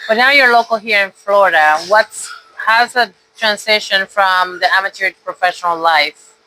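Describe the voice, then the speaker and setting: A podcast host interviewing a guest at a boxing gym, asking short questions and adding soft "mm-hmm" backchannels. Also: mid-range voice, moderate pace, a little hiss or hum, low-quality recording.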